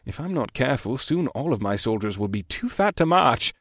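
A man's voice: mid-range voice, big pitch swings, brisk, a bit bright, no room sound, clean studio sound. A man voicing a hearty one-eyed warrior general with formal, courteous phrasing in a fantasy audiobook. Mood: delighted, impressed. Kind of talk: audiobook character dialogue.

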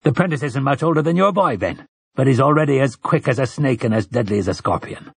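A man's voice: mid-range voice, expressive, fast talker, vocal fry, husky, dry recording, studio quality, voice pushed up. A man voicing a stern old priest in an audiobook, commanding and oratorical, with rustic, archaic turns of phrase. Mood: commanding, assured. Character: stern, weathered old priest rallying frightened villagers. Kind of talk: audiobook character dialogue.

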